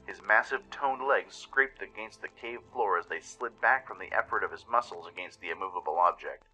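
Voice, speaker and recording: Forceful low-voiced man narrating a radio play. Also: fairly quick, breathy edge, soft background music, low-quality recording. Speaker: narrator and producer. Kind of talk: dramatic scripted story narration.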